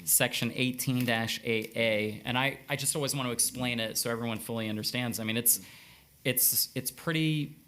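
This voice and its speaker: A man's voice: medium pitch, steady tone, normal speed, sharp tone, slight background noise. A man presiding over a county code hearing, asking follow-up questions in a conversational way. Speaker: special master presiding.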